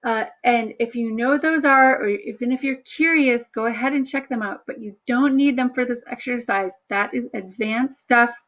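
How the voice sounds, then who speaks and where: A woman instructor talking through a screen-share workshop in a chatty, self-deprecating way, with a low, somewhat monotone voice. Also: moderate pace, few pauses, muffled recording. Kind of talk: screen-share tutorial narration.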